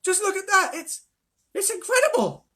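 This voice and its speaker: A reader voicing a young boy who shouts in amazement. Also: very high voice, expressive, moderate pace, pitched above the performer's own voice, full character voice. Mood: amazed. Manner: shouting in wonder.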